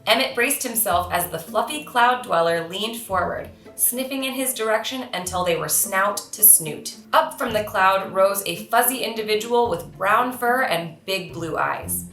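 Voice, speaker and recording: A woman reading a children's storybook aloud in an expressive storyteller's manner. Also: medium pitch, a little slow, hardly any pauses. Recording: roomy echo, music behind.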